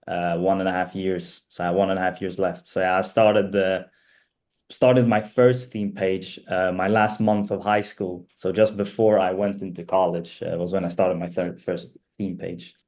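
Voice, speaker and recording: A man in his twenties from Sweden, chatting casually as an interview guest, relaxed and rambling with lots of fillers. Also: low-pitched, normal speed. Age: 20s. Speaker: guest, student and page owner.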